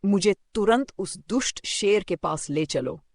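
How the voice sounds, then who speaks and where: Storybook lion tyrant, played by a man: high-set, gravelly, proud, explosive and menacing. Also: animated, a little slow, heavily acted. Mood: indignant. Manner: roaring, shouting threats. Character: arrogant, furious male lion king, loud and threatening.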